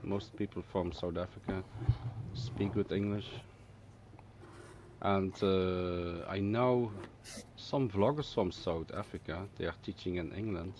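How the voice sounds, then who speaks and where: A man with a low voice talks casually and tends to repeat short phrases. There is faint background noise behind him. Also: takes it slowly, darkish tone, lo-fi sound. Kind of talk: casual chat. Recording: noisy background ambience.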